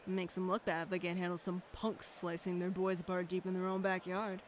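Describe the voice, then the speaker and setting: A woman's voice: lowish voice, little pitch movement, fairly quick, slight background noise, natural voice. A woman playing a blunt, street-smart local in a visual novel, speaking in a slangy, matter-of-fact way. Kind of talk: visual novel character dialogue.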